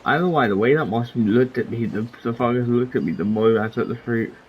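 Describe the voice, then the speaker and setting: A man's voice: mid-range voice, fast, muffled recording, a little hiss or hum. A man giving casual, offhand commentary while playing a video game. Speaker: game streamer. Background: game music.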